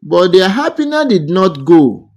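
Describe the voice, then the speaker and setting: A man narrating a scripted romantic folktale as a storyteller, in deliberate, measured short phrases. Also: sits high, big pitch swings, darkish tone.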